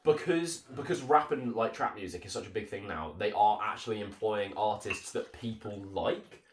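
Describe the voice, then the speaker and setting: A man's voice: on the low side, moderate pace. A young British man hosting a podcast with enthusiastic, casual chat. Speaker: podcast host. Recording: roomy echo on the voice.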